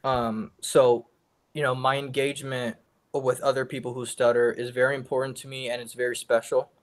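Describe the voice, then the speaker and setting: A man's voice: medium pitch, flat delivery, normal speed. A young man with a stutter, giving a college class presentation over a video call. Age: young adult. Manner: stutters with sound repetitions and blocks. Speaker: student presenter.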